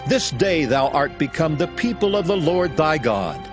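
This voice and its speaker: A solemn, commanding lawgiver delivers his instructions with a lively, rising and falling delivery. Clear music plays under the voice throughout this dramatized scripture recording. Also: a little high, moderate pace. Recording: music bed beneath voice.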